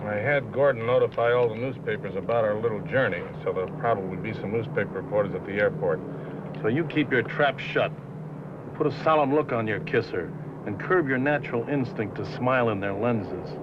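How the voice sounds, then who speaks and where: An actor playing a scheming, bitter showbiz manager in a 1950s film drama, talking in blunt, bossy slang. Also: male voice, on the low side, a little fast, close to an everyday voice. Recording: muffled, noisy 1950s film soundtrack.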